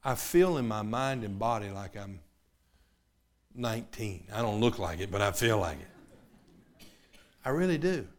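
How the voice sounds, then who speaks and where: A man, preaching a sermon. His delivery swings from loud, fervent preaching to quiet personal asides. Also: on the low side, expressive, unhurried, pauses often. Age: 50s.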